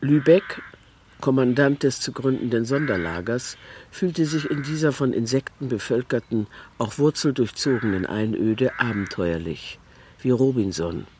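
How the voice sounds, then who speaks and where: A man reading scripted literary narration in a deliberate, matter-of-fact manner. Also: medium pitch, noisy background.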